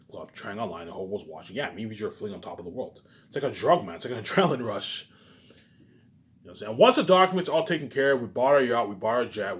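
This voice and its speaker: A man talks very quickly in a fairly low voice. His casual monologue rambles and circles back on itself, with emphatic repetition and frequent tag questions. There is some noise in the recording.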